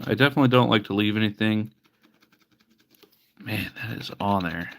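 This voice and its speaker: Male streamer, low and gravelly, wry casual patter, a little stuffy-nosed. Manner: chatty, wry, mock-disgusted asides. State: sniffly from allergies. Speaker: livestreamer repairing retro handhelds. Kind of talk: casual live-stream chat while working.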